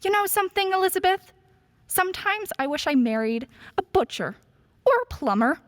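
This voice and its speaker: A woman acts out a mildly disapproving spouse in a radio drama. The voice is very high and sounds close and dry, with a chiding manner. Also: very animated, normal speed, no echo. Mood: concerned, chiding. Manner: mild, chiding. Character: gently disapproving spouse of a busy prosecutor. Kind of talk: stage-style radio play acting.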